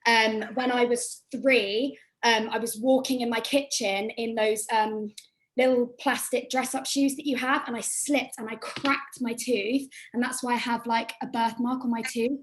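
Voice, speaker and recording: A woman's voice: a little high, steady tone, a little slow, poor audio. A young woman from England, chatty and self-deprecating as she takes part in an acting workshop over a video call. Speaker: workshop participant. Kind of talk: casual chat.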